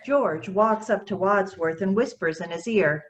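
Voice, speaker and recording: A woman's voice: mid-range voice, a little slow. A woman reading stage directions as scripted narration for a play.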